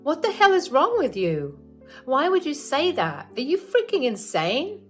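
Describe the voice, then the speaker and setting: A woman's voice: high-pitched, wildly swooping pitch, unhurried, soft background music, voice pushed up, full character voice. Woman voicing an outraged party guest.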